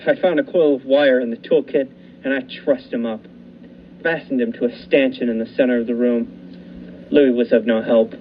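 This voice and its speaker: A man playing a haunted lighthouse keeper who narrates a radio thriller with dramatic, deliberate pauses. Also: mid-range voice, steady tone, close to an everyday voice. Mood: grim, tense. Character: haunted lighthouse keeper recounting his ordeal. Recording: muffled lo-fi recording with faint noise.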